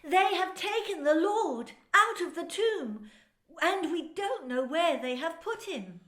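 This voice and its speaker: A woman's voice: extremely high pitch, lively intonation, slow, fairly bright tone, pitched above the performer's own voice, exaggerated voice. A woman playing Mary Magdalene in a dramatised Bible reading, speaking in a raised, urgent, emotional voice. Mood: distressed. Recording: roomy echo.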